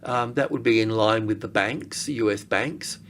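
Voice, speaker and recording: Male market analyst, lowish voice, informal chart walkthrough, halting think-aloud delivery, faintly noisy recording. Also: fairly bright tone. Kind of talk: informal technical chart commentary.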